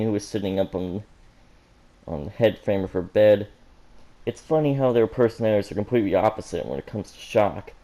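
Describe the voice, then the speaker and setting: A man reading fan fiction aloud as an amateur, halting and stumbling over words, with joking asides. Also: lowish voice, warm tone, poor audio. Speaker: reader of fan fiction for an online audience.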